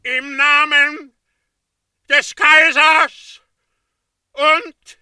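An actor playing a stern Roman governor who proclaims a death verdict to a crowd in a radio drama, in a shouted, slow and measured delivery. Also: male voice, extremely high pitch, level tone, no echo, studio quality, full character voice. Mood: proclaiming. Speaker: Roman procurator.

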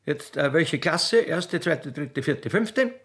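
A man voicing a grumpy, officious young country railway clerk girl with an Austrian accent, halting and cheeky, in a comic radio play. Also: a little high, animated, fairly bright tone, voice pushed up, exaggerated voice. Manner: halting, stammering, pedantic, cheeky.

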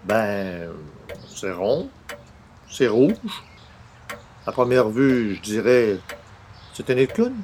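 A man playing a wry, skeptical newspaper columnist in a radio comedy, deadpan, with a Quebec French accent. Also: lowish voice, slow, long pauses, slight background noise. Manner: dry, deadpan. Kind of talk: old-time radio comedy acting.

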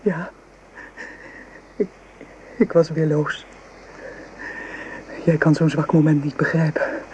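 An actress playing a lovesick, guilt-ridden young maidservant in a radio play, with halting, breathy, pleading delivery. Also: female voice, deep voice, fairly even intonation, darkish tone, dull audio. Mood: anguished, desperate. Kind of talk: melodramatic Dutch radio play acting. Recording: noisy old radio drama recording.

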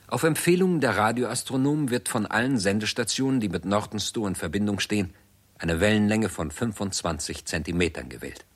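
Sober male chronicler, 1960s radio play. Low, husky, formal, measured documentary delivery. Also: fairly bright tone. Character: sober male chronicler of a science fiction catastrophe. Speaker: narrator. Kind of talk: scripted 1960s German radio drama narration.

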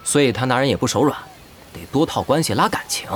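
Male actor for loyal guild sidekick: fast, animated, blunt and shrewd, audio drama. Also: mid-range voice, slight background noise, lightly acted. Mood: shrewd, questioning. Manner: brisk, opinionated.

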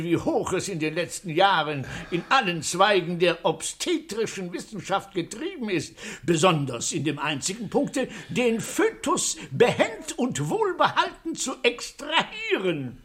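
A man's voice: high voice, very animated, a little slow, a bit bright, a little hiss or hum, exaggerated voice. An actor playing a self-assured, wordy doctor who boasts of his science and defends himself pedantically in a German radio play. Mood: boastful, pedantic.

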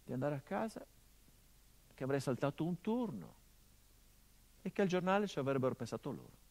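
A middle-aged actor playing a grieving son whose father was murdered, speaking a theatrical monologue in a measured, grave, introspective way. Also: a little high, sing-song, creaky, darkish tone, heavily acted.

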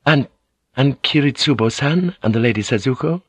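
A man voicing a shaken, obedient Japanese noblewoman in an audiobook, with a threadbare voice and halting delivery. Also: medium pitch, lively intonation, breathy edge, no room sound, voice pushed up. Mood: dazed, faltering. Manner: faltering, halting. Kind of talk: dramatic audiobook character voice.

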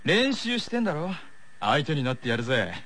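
A man playing a cocky, scornful teenage boy tennis player from an anime, with drawn-out, challenging delivery. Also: fairly high pitch, very animated, fairly bright tone.

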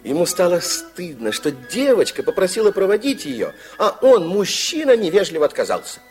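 A man narrating a fairy-tale radio play from the 1960s in an expressive, theatrical way. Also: sits high, normal speed, soft background music. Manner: theatrical, storytelling.

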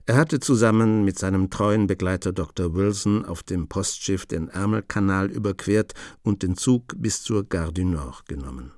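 Ein Mann erzählt ruhig und gemessen die Handlung eines Hörspiels. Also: male voice, low voice, dry recording, clean studio sound. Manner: calm, measured storytelling. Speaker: narrator. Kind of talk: scripted narration.

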